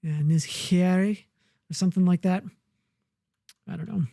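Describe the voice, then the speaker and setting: A woman plays through a survival game and chats to herself in a slow, unhurried ramble. Her voice sits low and mellow. The sound is dry and close, with no room around it. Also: gravelly, dry recording. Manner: relaxed, muttering to herself while building. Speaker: gamer. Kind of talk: casual let's-play commentary.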